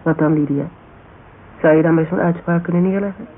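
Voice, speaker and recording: An actress playing a cautious, sceptical female private detective in a conversational Dutch radio drama, measured and probing. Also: on the low side, steady tone, close to an everyday voice. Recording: narrow, faintly noisy old radio broadcast.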